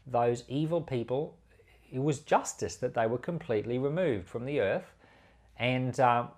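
A man, a pastor, reading scripture and giving devotional commentary in a measured, emphatic delivery. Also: medium pitch.

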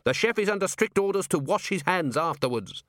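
A man's voice: high-pitched, expressive, brisk, fairly bright tone, dry recording, clean studio sound, voice pushed up. A man voicing an indignant, blustering dwarf restaurant owner in an audiobook, protesting and defensive. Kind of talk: audiobook character voice.

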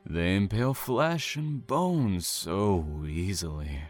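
A slow, taunting sadistic killer. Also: male voice, lowish voice, big pitch swings, full character voice. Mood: sinister relish. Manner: slow, taunting, mock-polite. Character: sadistic serial killer father, menacing and falsely tender. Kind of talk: audio thriller drama acting.

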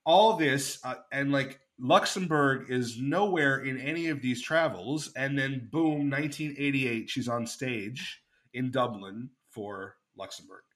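A man's voice: medium pitch, a little slow, fairly bright tone. A man hosting a casual podcast chat, telling a story with lots of fillers and enthusiasm. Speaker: podcast host.

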